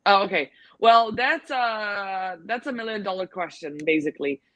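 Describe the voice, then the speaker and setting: A woman's voice: medium pitch, normal speed, fairly bright tone, no room sound. Chatty woman giving advice, dry sound. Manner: chatty, frequent fillers and restarts. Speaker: guest expert on selling abroad. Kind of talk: casual advice in a video call Q&A.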